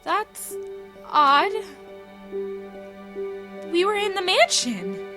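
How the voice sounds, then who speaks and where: A woman playing an excitable, bossy teenage schoolgirl who frets over a friend in an anime-style audio drama. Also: very high voice, very slow, music in the background, heavily acted. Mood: puzzled.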